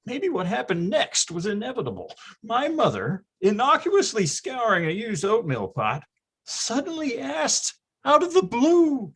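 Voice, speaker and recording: Animated, melodramatic storyteller played by an actor. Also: medium pitch, measured pace, slightly rough, heavily acted. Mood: ominous. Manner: theatrical, grandiose, wry. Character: melodramatic, nostalgic storyteller recalling boyhood schemes. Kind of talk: scripted comic narration.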